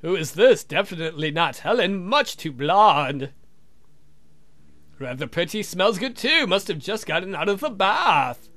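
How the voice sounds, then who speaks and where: A man's voice: sits high, big pitch swings, unhurried, a bit bright. A man hosting a let's play, reading a visual novel aloud and adding irreverent, crude joking commentary.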